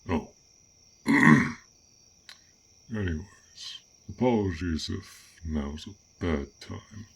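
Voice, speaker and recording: A man playing a gentle, curious dragon in a roleplay audio drama, with formal, old-fashioned, pensive speech. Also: sits low, slight background noise. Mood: apologetic, formal.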